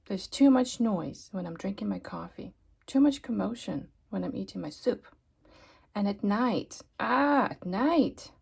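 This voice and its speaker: Storybook role: noise-weary grumbling man, played by a woman, fed up, soft-toned with some fry. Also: mid-range voice, expressive, a little slow, full character voice. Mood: exasperated. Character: fed-up, noise-hating grumpy man complaining. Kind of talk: children's storybook character voice.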